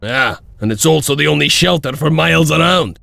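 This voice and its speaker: Wild loyal warrior, very gravelly, high for a man, boisterous, raspy, game cartoonish drama. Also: animated, a little fast, sharp tone, no echo, faint music behind, full character voice. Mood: uneasy. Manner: boisterous, theatrical. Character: excitable, loyal, rough wild warrior of a wolf clan. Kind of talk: dramatic video game acting.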